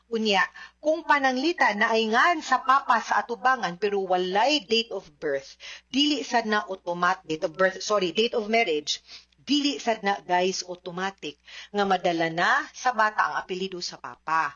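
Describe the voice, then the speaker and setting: Female advice host, Cebuano Filipino accent, sharp bright delivery, explanatory with English mixed in, dull lo-fi recording. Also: medium pitch, normal speed. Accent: Filipino, Cebuano Bisaya. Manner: explanatory, code-switches into English, frequent checking tags. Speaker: legal advice host answering listener questions. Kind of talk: spoken advice, reading and answering questions.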